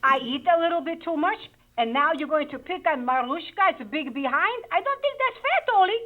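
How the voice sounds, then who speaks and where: A woman's voice: sits high, expressive, a little fast. A woman with a Russian accent playing a bossy wife who scolds her husband over the phone in broken English, talking about herself in the third person, for a radio comedy bit. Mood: scolding. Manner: broken English, dramatic, refers to herself by name. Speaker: caller. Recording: muffled phone line.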